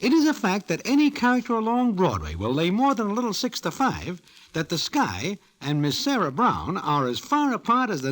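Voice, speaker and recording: An actor playing a wry small-time gambler from Broadway in an old-time radio drama, speaking stilted formal slang. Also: male voice, sits high, fairly bright tone, exaggerated voice.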